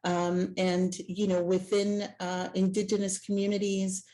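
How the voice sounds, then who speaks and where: A woman from El Salvador whose first language is Spanish, speaking as a panelist on a video call in an earnest, measured way. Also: mid-range voice, flat delivery, dark tone. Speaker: panelist for a Native organization. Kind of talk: virtual panel remarks.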